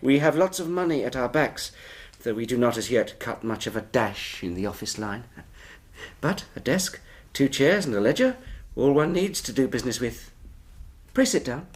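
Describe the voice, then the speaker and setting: A man acting in a period radio drama, playing a hearty, persuasive company recruiter and smooth swindler, with a British accent and grandiloquent manner. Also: mid-range voice, sing-song, normal speed. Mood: reassuring, businesslike. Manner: effusive, theatrical, grandiloquent.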